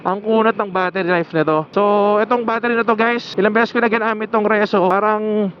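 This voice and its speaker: A man's voice: high voice, steady tone, fairly quick. A man with a Filipino accent talking casually to viewers in a product review, conversational and repeating himself, recorded on a wireless helmet microphone. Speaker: motorcycle vlogger reviewing gear. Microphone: wireless helmet intercom microphone. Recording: muffled helmet intercom mic, clear vehicle noise.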